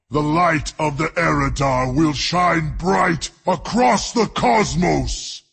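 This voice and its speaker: An actor playing a zealous alien leader in a video game, grandly declaiming in a raspy, gravelly voice. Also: male voice, medium pitch, a bit bright, full character voice. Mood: fervent, exalting. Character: zealous alien demon-race leader, grand orator turning vengeful. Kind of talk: theatrical video game voice acting.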